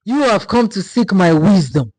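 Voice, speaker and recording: A woman's voice: big pitch swings, normal speed, a little mellow, dry recording, low-quality recording. A woman voicing an enormous, ancient forest spirit of vines and branches, solemn and commanding in a folktale. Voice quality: deep, rumbling.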